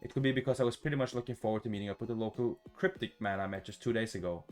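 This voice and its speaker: A man reading a visual novel aloud for a gaming video in a casual, expressive, sweary way, adding his own playful reactions. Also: on the low side, very fast, soft background music.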